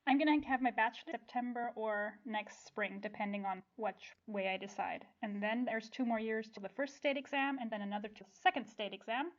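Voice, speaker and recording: High-voiced young woman, dull recording. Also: a little fast, few pauses. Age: young adult. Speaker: podcast guest, law student. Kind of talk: casual chat. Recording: dull, lo-fi podcast audio.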